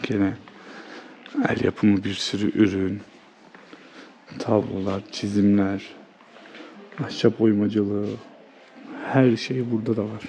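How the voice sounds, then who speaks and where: A man's voice: fairly low pitch, little pitch movement, slow, long pauses, dark tone. A man hosting a casual, unscripted travel vlog, thinking aloud in an informal, conversational way. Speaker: travel vlogger and host. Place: outdoors, walking through an old walled town. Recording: outdoor city ambience, background noise.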